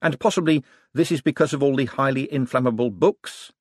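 A man voicing a wry, polite, quick-witted man in an audiobook. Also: medium pitch, husky, no echo, studio quality, voice pushed up, natural voice.

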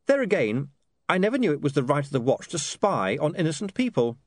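A defiant, sharp-tongued young man who fires back quick retorts, as a man voices him in a storybook reading. His voice runs a little high and lively, and the recording is dry. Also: no echo.

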